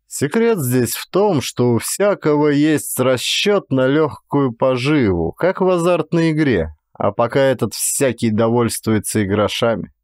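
A man narrates classic prose like a stage actor, in a measured, expressive way. His mid-pitched voice swings widely in intonation. The sound is dry and close, with no room echo. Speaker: actor reading an audiobook. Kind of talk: dramatic literary audiobook narration.

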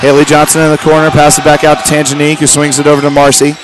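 A man with a loud voice giving halting, amateur live play-by-play of a basketball game. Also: a little high, monotone. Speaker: play-by-play sports commentator. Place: large indoor school gymnasium during a game. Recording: noisy gym crowd and bouncing ball. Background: crowd noise, basketball bounces.

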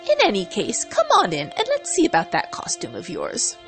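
A woman's voice: medium pitch, wildly swooping pitch, moderate pace, a bit bright, soft background music. A woman voicing a fussy but caring cartoon unicorn mare, haughty, refined and theatrical, in a dramatic story reading. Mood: condescending, softening.

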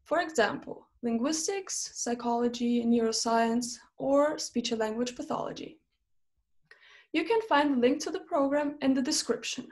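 High-voiced young woman presenting. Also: moderate pace, darkish tone. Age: young adult. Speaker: interview series host. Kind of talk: scripted presentation to camera. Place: small plain room indoors.

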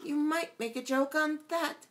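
A woman's voice: high voice, a little slow, voice pushed up. A woman voicing a tiny, sad, pleading insect that coaxes and repeats little jokes, in a storybook reading. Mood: coaxing. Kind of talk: audiobook character voice.

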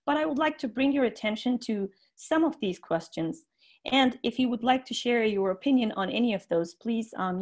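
A woman in her thirties whose first language is Turkish, giving an earnest online conference talk with frequent fillers over a webcam. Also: medium pitch. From Turkey. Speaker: teacher trainer giving a conference plenary. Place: indoor room, webcam video call.

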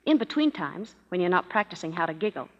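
An actress playing a lively, affectionate young woman named Anne in a 1930s radio drama. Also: fairly low pitch, quick pace. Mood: teasing. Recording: muffled old radio broadcast in a hall.

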